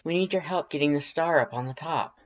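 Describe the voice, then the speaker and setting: A man's voice: a little high, brisk, muffled recording, pitched above the performer's own voice, natural voice. A man playing an earnest, friendly young human with hesitant, emotional delivery in a fan fiction audiobook. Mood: amused, bright.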